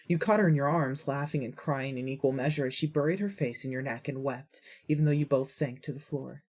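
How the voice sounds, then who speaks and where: A woman with a husky voice reading scripted fiction as a narrator. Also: extremely low pitch, fairly even intonation, a little fast, a little mellow.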